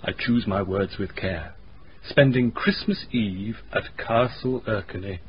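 An actor playing a genteel, witty gentleman telling his story in a radio drama, in a wry, wordy, polite manner. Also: lowish voice, a little slow. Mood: dryly amused. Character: genteel, witty gentleman recounting his eerie castle stay. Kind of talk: radio drama narration and acting. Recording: dull radio recording, faint noise.

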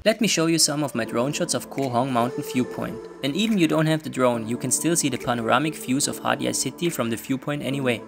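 A man's voice: mid-range voice, quick pace, gravelly, hardly any pauses. A man narrating a travel vlog in a plain scripted voice-over, read in non-native English. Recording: over loud background music.

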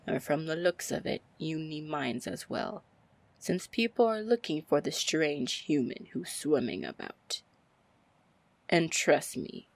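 Casting: actress as female pirate lord for fantasy ASMR roleplay; low, gravelly voice, flirtatious, confident, protective. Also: no echo, heavily acted. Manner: slow, drawling, flirtatious terms of endearment. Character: confident, teasing female pirate captain, commanding yet protective.

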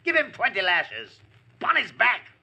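An actor playing a cruel, haughty knight with contemptuous archaic speech, in an old radio drama. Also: male voice, sits high, huge pitch swings, gravelly, sharp tone, breathy edge, heavily acted. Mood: scornful. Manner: contemptuous, drawn-out. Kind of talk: 1940s radio villain acting.

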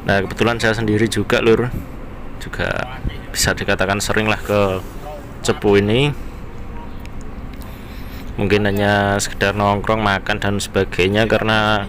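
A man's voice: fairly low pitch, moderate pace, pauses often. A man with an East Javanese accent giving casual, conversational driving commentary to his viewers as a car vlogger. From Bojonegoro area, East Java, Indonesia. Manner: conversational, often addressing viewers as friends. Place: inside a moving car on town roads. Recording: steady vehicle and road noise. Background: car and traffic noise.

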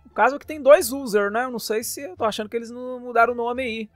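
A man's voice: very high voice, steady tone, fast talker, no room sound, soft background music. A man with a Brazilian accent hosting a casual game showcase, talking in a jokey, playful, slangy way. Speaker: YouTube channel host reviewing games.

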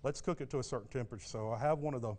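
A man's voice: mid-range voice, racing, darkish tone. A middle-aged man giving a casual, instructive cooking demonstration as a guest barbecue cook, in a plain-spoken way. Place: TV studio kitchen set.

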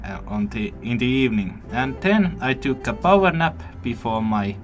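A young man whose first language is Finnish, narrating his day as a student news host, with relaxed pauses and fillers. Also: medium pitch, faint music behind. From Finland. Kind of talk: casual vlog narration and news hosting.

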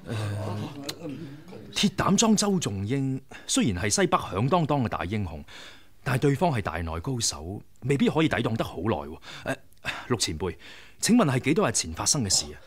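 A husky young rebel leader. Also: male voice, medium pitch, big pitch swings, normal speed. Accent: Hong Kong Cantonese. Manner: modest, deferential, hesitant fillers. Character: young male leader of a rebel society, modest and thoughtful. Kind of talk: 1990s radio drama acting.

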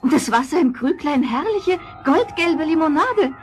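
High, sweet meek girl. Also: lively intonation, moderate pace, over music. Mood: delighted. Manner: sweet, earnest, polite. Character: gentle, meek, kind orphan girl. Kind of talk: German fairy-tale audio drama acting.

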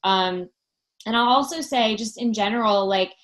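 A woman's voice: mid-range voice, normal speed, a bit bright, low-quality recording. A woman in her thirties, a talent producer speaking as a guest in a casual video-call interview, friendly and upbeat with many fillers. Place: home room. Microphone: laptop webcam mic on video call.

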